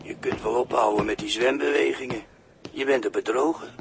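An actor playing a chummy but unhelpful deckhand in a radio play, dry, colloquial and teasing. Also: male voice, medium pitch, brisk, gravelly, natural voice. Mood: sardonic. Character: sardonic idle male deckhand, chummy and unhelpful. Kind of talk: 1960s Dutch radio play acting. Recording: noisy 1960s radio recording.

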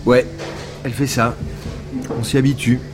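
An actor as a male police lieutenant in an audio drama, mid-pitched, with music or ambience behind him. He sounds weary and sardonic, with a dry, halting delivery. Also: flat delivery, lightly acted. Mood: wry. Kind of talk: French audio fiction acting.

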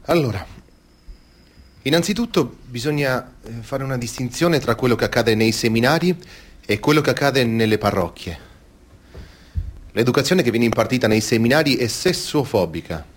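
An actor playing a male expert who explains in a deliberate, didactic and emphatic way, as in a scripted interview. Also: mid-range voice, expressive, lightly acted. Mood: explanatory, serious. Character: measured male expert explaining clergy abuse. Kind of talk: scripted interview-style stage testimony.

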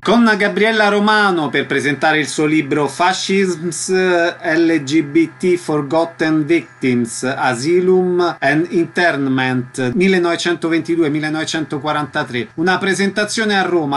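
A man's voice: fairly high pitch, a bit bright. A man hosting a radio interview, giving short questions and tidy announcements.

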